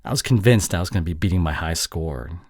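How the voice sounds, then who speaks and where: A young man playing a sheepish, affectionate boyfriend in an intimate audio roleplay, soft and halting, with breathy drops toward a whisper. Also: sits low, expressive, no room sound, clean studio sound. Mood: sheepish, defensive. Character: sheepish, affectionate young boyfriend apologizing to his long-distance partner.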